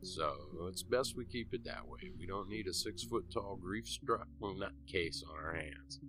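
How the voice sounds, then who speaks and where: A man's voice: sits low, a little slow. A man playing a brash, blunt, foul-mouthed young man in an amateur horror audio drama, talking in casual slang. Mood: blunt, hushed worry. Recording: music behind the voice. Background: background music.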